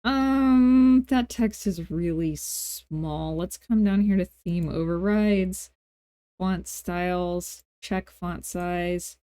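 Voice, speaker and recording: A woman hosting a software tutorial in a casual, playful way, thinking aloud and tossing in self-deprecating asides as she works. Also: fairly low pitch, drawn-out words, clear, resonant tone, no room sound, clean studio sound. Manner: informal thinking aloud while working. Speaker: tutorial host. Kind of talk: casual screen-recorded software tutorial narration.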